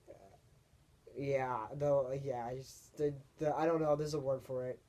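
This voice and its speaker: Slow, rambling young man with deep voice. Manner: rambling and hesitant, searching for words. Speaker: vlogger. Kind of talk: casual personal vlog monologue.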